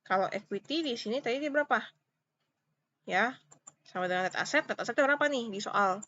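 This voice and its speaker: A woman's voice: a little high, expressive, brisk, pushed and bright, lo-fi sound. A woman with an Indonesian accent giving an informal step-by-step tutorial as a lecturer, repeating points and checking understanding with short questions. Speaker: accounting lecturer.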